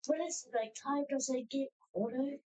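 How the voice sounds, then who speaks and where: A woman's voice: sits high, normal speed, warm tone. A woman in a casual chat who keeps cutting in eagerly with short, blunt remarks.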